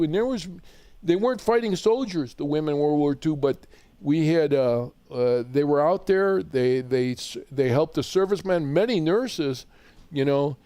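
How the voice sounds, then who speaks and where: A man's voice: a little high, dark tone, dry recording. Rambling Chicago-accented radio host man. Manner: chatty, rambling, repeats words when starting. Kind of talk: live radio call-in chat.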